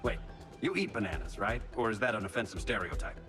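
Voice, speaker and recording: A man's voice: lowish voice, sing-song, quick pace. A man playing a cocky, flippant archer hero in a video game, with a glib conversational delivery. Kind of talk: video game cutscene acting. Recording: loud music behind the voice.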